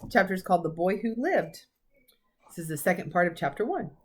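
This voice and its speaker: A woman's voice: lowish voice, lively intonation, fairly quick, slight background noise. A woman reading a children's story aloud expressively, as a teacher.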